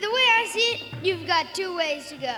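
A woman's voice: very high voice, animated, a little fast, music in the background. A woman voicing a brash, streetwise young urchin hustler with slangy, cocky patter in an audio comedy. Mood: streetwise, brisk. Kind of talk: live audio comedy acting.

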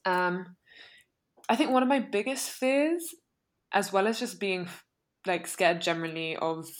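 A woman's voice: mid-range voice, unhurried. A young woman co-hosting a podcast, talking reflectively in a casual way with many fillers. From United Kingdom. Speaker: podcast co-host.

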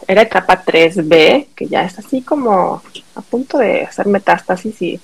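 A woman's voice: lowish voice, expressive, moderate pace, gravelly, slightly rough. A middle-aged Mexican woman, a podcast guest telling a personal story in casual conversation, acting out what people said.

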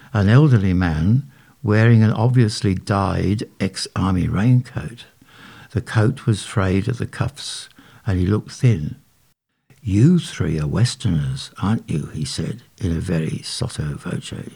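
A man's voice: sits low, gravelly, dark tone, slightly rough. A man reading his own short story aloud in a dry, wry narrating manner.

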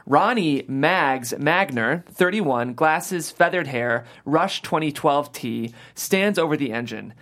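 A man's voice: a little high, expressive, slow pace, hardly any pauses, fairly bright tone. A man hosting a podcast table read, talking casually and reading out script directions. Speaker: host, reader of stage directions.

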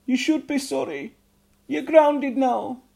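A man voicing a strict but loving goblin mother who scolds her son in loud, shrill shouts. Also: takes it slowly, gravelly, heavily acted. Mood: scolding.

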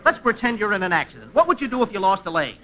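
Pushy insurance salesman in old radio comedy, sharp and lively, muffled recording. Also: high voice, very fast, full character voice. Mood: insistent pitch. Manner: insistent, patter-like explaining. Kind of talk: 1940s radio comedy acting.

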